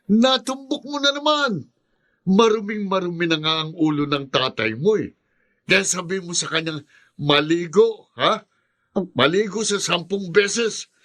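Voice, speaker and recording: A man's voice: sits high, lively intonation, a little slow, a bit bright, full character voice. An actor playing a brash, taunting male villain in a radio drama. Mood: mocking. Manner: taunting, cackling, sneering. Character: mocking scheming male lover, brash villain.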